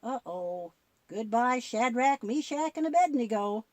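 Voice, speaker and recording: A woman's voice: on the high side, lively intonation, a little slow, darkish tone, no room sound. A woman voicing a goofy, curious little boy puppet in a children's puppet show, wide-eyed and full of questions and exclamations. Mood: amazed.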